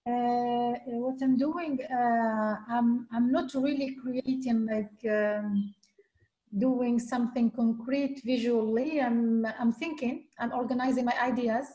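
A middle-aged woman, a guest artist speaking haltingly on a video call from her home, with many restarts. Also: a little high, fairly even intonation, dark tone, dull audio. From Algeria. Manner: hesitant, many restarts and fillers. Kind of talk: video call panel talk. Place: home room.

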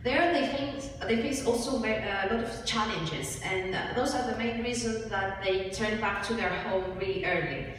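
A young woman from Cyprus giving a rehearsed student pitch, recorded at a distance in a large hall. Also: normal speed, hardly any pauses. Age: young adult. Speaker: student presenter. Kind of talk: rehearsed group pitch presentation. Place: large hall with seated audience. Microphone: far audience camera. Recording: echoey hall from far off, faint noise.

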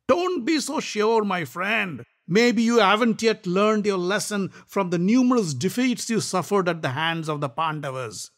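A scornful older king who needles with every line, as a male storyteller puts him on. He sneers and jeers in a lifted pitch. The telling stays slow. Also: lively intonation, fairly bright tone, heavily acted. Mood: mocking. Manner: taunting, sneering.

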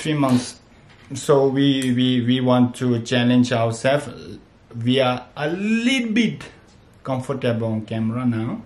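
A man in his thirties from Tibet, speaking as a non-native with a Tibetan accent, chatting casually and haltingly as a family vlogger and repeating himself. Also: medium pitch, a little mellow. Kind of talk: casual vlog chat. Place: small bright room at home. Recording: echoey room, faint background noise.

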